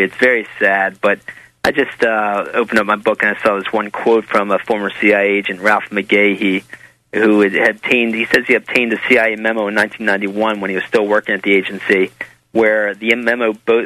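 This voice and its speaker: An American man gives a casual interview as a guest. His voice is low and flat, with a bright, forceful edge. He explains things in a halting, rambling way, recorded very dry. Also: no room sound, poor audio. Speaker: interview guest, author and documentary maker.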